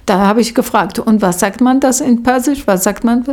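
A middle-aged woman, a native Arabic speaker, recounting her experience in accented, halting speech as she searches for words. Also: a little high, darkish tone. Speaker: social worker telling her experience. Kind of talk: spontaneous interview account.

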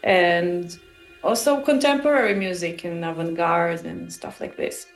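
Female musician interviewee, Israeli accent. Unhurried, thoughtful, halting delivery, slightly echoing room. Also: fairly low pitch, fairly even intonation, creaky, faint music behind. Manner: halting, searching for words, pausing mid-phrase. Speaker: musician and composer interviewed about their work. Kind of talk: casual, reflective interview answers.

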